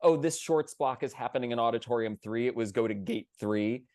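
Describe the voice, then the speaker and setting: A man's voice: mid-range voice, brisk, darkish tone, no room sound, clean studio sound. Enthusiastic man chatting on a panel. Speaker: theatre panelist. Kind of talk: casual panel discussion.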